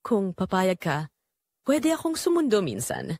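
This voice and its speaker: A woman's voice: medium pitch, wildly swooping pitch, a little slow, a little mellow, dry recording, full character voice. Woman voicing a tender married boss, dry sound. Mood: tempting, tender. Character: married male boss, tender, tempting suitor. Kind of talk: romantic audio drama dialogue.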